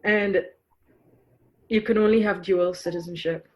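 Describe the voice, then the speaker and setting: A woman's voice: medium pitch, steady tone, a little slow, highs cut off. A middle-aged woman from Trinidad, speaking reflectively in a podcast interview with frequent fillers and restarts. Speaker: guest author.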